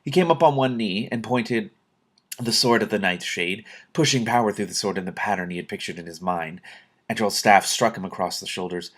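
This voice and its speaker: Low-pitched man reading a story aloud. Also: fairly bright tone. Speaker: host reading a novel aloud with commentary. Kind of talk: expressive storybook reading with casual asides.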